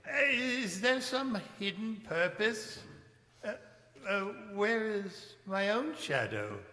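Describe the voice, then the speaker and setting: Frightened old miser, slow stammering delivery, bright strained voice, echoing hall, stage radio play. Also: male voice, sits high, expressive, full character voice. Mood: bewildered, earnest. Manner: halting, stammering, earnest and theatrical.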